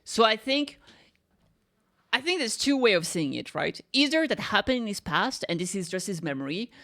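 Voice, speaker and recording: A woman's voice: medium pitch, very animated, moderate pace, a bit bright. A woman giving casual reaction commentary, thinking aloud and often checking for agreement with little tag questions. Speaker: reaction video host.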